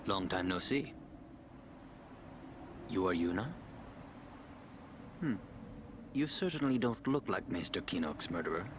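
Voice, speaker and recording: An actor playing a calm, guarded but kindly older priest in a video game, with measured delivery. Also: male voice, low voice, background noise. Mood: wry, measured. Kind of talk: video game cutscene acting.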